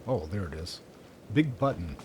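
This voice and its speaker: A man chatting casually and a little haltingly as he co-hosts a gaming livestream. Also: sits low, big pitch swings. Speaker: game streamer, co-host. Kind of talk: casual chat while playing a game. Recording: livestream with clear background noise, game ambience.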